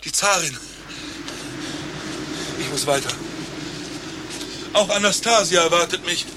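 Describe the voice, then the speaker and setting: An actor playing a self-important, deluded doctor in a satirical radio play, melodramatic and urgent. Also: male voice, high-pitched, measured pace, background noise. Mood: delusional, grand. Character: deluded, self-important male doctor freezing in an imaginary blizzard.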